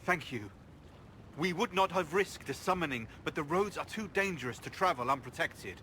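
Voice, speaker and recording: High-pitched, flustered, self-important mage. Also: male voice, expressive, moderate pace, pushed and bright, a little hiss or hum. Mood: nervous pleading. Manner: defensive, condescending, stammering under pressure. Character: flustered, self-important human male mage pleading his case. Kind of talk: dramatic video game dialogue.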